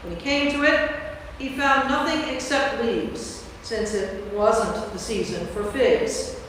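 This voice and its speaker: A woman's voice: on the high side, fairly even intonation, takes it slowly, a bit bright. A woman reading scripted narration for a staged Bible reading. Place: large reverberant church. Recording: echoey church from far off, faint noise.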